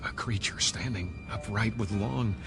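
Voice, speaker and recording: A man's voice: lowish voice, normal speed, close to an everyday voice. A man playing an anxious, hesitant airline passenger who stammers, in a radio drama. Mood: shaken, uneasy. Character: anxious, rattled male airline passenger doubting his own eyes. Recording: dramatic music behind the voice.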